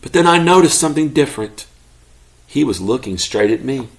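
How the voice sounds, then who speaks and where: A man reading a children's story aloud expressively, as a parent does to a child, pausing to ask questions. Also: mid-range voice, lively intonation. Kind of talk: expressive children's read-aloud, narrating as a pet dog.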